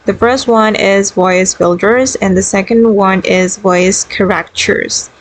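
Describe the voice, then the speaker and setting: A woman's voice: medium pitch, takes it slowly, rough recording. A woman hosting a video tutorial, narrating the steps in a casual, instructional way. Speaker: tutorial host.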